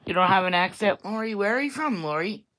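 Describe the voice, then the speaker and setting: A woman with a thick Staten Island New York accent and a deep voice, chatting bluntly and casually to viewers on a livestream, laughing often. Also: lively intonation, fast talker, background noise. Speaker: YouTube vlogger.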